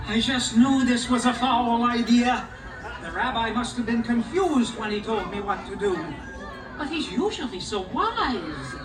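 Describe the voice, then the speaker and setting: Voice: medium pitch, lively intonation, a little slow, over music, crowd noise, lightly acted. A performer playing a harried, good-natured village father in a live puppet show, exclaiming and pleading in comic exasperation. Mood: exasperated. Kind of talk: live puppet show folk tale acting. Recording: echoey live show, distant mic.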